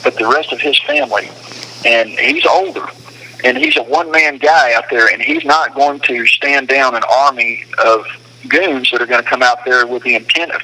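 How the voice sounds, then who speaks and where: A man's voice: mid-range voice, bright, forceful tone. A man talking casually and with strong opinions over a phone call, explaining his points with emphasis. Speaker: detainee speaking on a phone call from a detention facility. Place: phone call from a detention facility.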